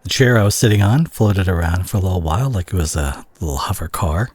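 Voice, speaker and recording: A man's voice: low-pitched, animated, breathy edge. A man playing a weary, worried superhero talking intimately to an injured teammate in an audio roleplay. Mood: gentle, reassuring. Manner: hushed, halting, sighing, turning exasperated.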